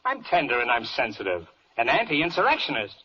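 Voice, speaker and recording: An actor playing a vain, bloodthirsty, fickle judge, blustering in theatrical rhyme in a 1940s radio verse comedy. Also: male voice, medium pitch, wildly swooping pitch, a little fast, breathy edge. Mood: grandly self-important. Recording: muffled old radio broadcast.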